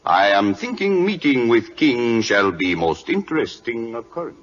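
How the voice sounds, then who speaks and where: An actor playing a grave, dryly patient Siamese prime minister in a radio drama, speaking clipped, formal broken English with a Siamese accent. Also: male voice, mid-range voice, big pitch swings, moderate pace. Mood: formal, warning. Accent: put-on Siamese. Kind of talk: 1940s radio drama acting. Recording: dull lo-fi old radio recording.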